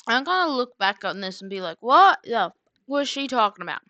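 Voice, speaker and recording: A woman's voice: a little high, big pitch swings, brisk, sharp tone, no echo, highs cut off. A woman livestreamer chatting casually and rambling, half muttering to herself, close to a streaming mic. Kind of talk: casual livestream chat while drawing.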